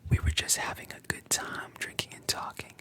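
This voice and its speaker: A whispering narrator voicing a mocking, greedy bandit leader in a soft, breathy hush. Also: moderate pace, lightly acted. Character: sneering bandit leader, adult man.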